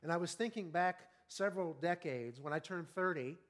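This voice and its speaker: A man in his fifties preaching an evening sermon, earnest and conversational, often asking the congregation questions and slowing down on key words. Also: a little high, fairly bright tone. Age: 50s. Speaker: pastor.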